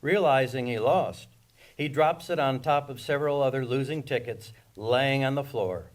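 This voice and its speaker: A man reads screenplay directions as the narrator of a table read. His pitch is average, and the delivery is scripted narration. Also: normal speed.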